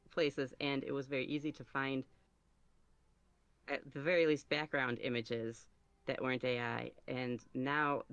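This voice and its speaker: A man giving casual podcast interview answers as a guest, halting and thinking aloud as he goes. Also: mid-range voice, fairly bright tone, smooth, no room sound, lo-fi sound. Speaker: podcast guest, ASMR roleplay creator.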